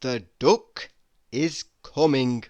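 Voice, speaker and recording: A man reading humorous quotes aloud in a deliberate, mock-inspirational manner. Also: mid-range voice, a little mellow. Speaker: reader.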